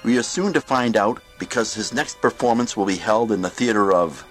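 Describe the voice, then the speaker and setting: A grave storyteller, played by a man opens an eerie radio drama. The voice is low and portentous, delivering measured scripted narration over clear background music. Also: lightly acted. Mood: ominous, grave. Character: grave, ominous Twilight Zone style narrator.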